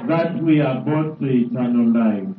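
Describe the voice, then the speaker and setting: A man's voice: mid-range voice, moderate pace. A man leading a congregation in prayer at a church gathering. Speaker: prayer leader at a church service. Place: large church gathering, far from recorder. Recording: muffled echoey hall, distant, very noisy crowd.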